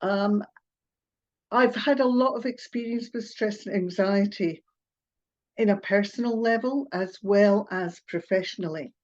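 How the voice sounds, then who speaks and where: Slow, hesitant Scottish woman on a video call. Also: medium pitch, darkish tone. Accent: Scottish, partly Australian. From Scotland. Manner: hesitant, apologetic, with filler sounds and little laughs. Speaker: therapist presenting a webinar. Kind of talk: online presentation. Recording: muffled video call audio.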